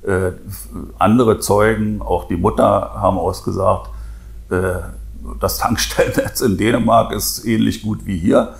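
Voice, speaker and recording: Gravelly, low-voiced older man. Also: fairly even intonation, measured pace. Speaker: defence lawyer, interviewee. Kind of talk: interview answer. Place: office indoors. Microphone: lapel.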